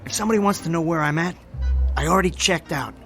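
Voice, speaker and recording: A man acts out a desperate small-time hustler with a high voice. He is a nervous wheedler who trips over his words in a radio drama, with faint music underneath. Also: fairly bright tone, lightly acted. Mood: wary, evasive. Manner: wheedling, nervous, stammering fast talker.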